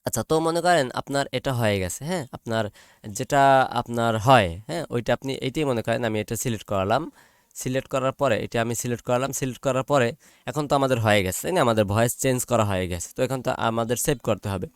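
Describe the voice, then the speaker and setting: A man with a Bangladeshi accent walks through software step by step in an informal way. His voice sits at a middling pitch in a dry, close recording. He repeats each step and often checks that listeners are following. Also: a little fast, clear, resonant tone, dry recording. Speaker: tutorial presenter.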